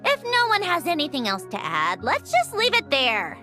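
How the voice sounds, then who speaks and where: A woman's voice: high voice, wildly swooping pitch, moderate pace, loud music behind the voice. An actress playing a proud, prickly young woman in a game, tart and easily flustered. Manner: tart, exasperated, sardonic. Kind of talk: animated game voice acting.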